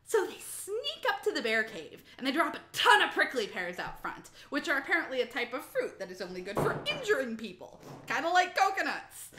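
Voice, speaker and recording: A woman's voice: high voice, wildly swooping pitch, a little fast, hardly any pauses, pushed and bright, reverberant, a little hiss or hum. A woman giving a sassy, emphatic dramatic reading of a humorous story, drawing out words for comic effect. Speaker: amateur reader of a humorous myth retelling. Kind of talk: animated dramatic reading with comic, profane narration.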